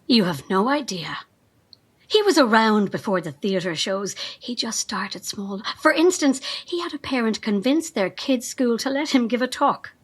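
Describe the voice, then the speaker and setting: An actress playing a fanatical cult assistant in an audio drama, measured, calmly menacing and reverent, with a breathy, husky voice. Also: female voice, on the high side. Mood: devout. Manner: measured, veiled threats, reverent.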